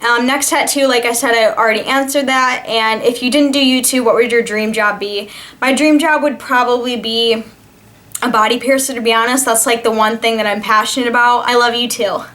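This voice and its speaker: Young woman with a higher-pitched voice, chatting casually. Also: steady tone, fairly quick, fairly bright tone. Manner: chatty, informal, reads questions aloud then answers. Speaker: vlogger answering viewer questions. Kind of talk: casual Q&A vlog chat.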